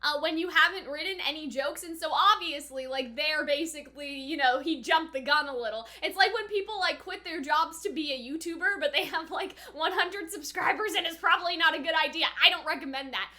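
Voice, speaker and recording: A young woman giving casual, excitable reaction commentary as a YouTuber, rambling and giggly, drifting into tangents. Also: high voice, brisk, few pauses. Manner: giggly, rambling, animated, filler-heavy tangents. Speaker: YouTuber reacting to an anime episode.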